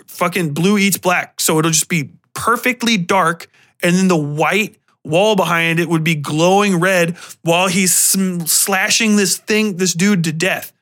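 A man hosting a podcast, giving a casual, animated and opinionated film rant in slangy, profane language. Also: high voice, a little slow. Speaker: podcast host.

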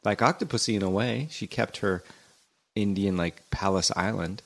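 A man's voice: lowish voice, normal speed, creaky, a bit bright. A man hosting a comedy podcast, interviewing playfully with inquisitive, mock-serious questions.

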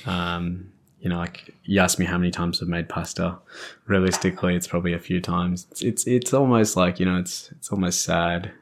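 A man's voice: low voice, fairly even intonation, moderate pace. An Australian man hosting a podcast, chatting casually and rambling, often restarting and searching for words. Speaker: podcast host. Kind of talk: casual chat.

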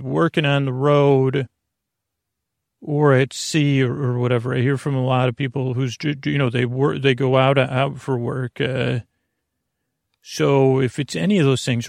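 Male sleep-podcast host: gravelly creak, mid pitch, soothing, halting, wandering monologue, dry close sound with faint music. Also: no room sound. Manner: meandering, halting, keeps losing his thread; lulling, soothing delivery. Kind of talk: rambling, off-topic bedtime monologue.

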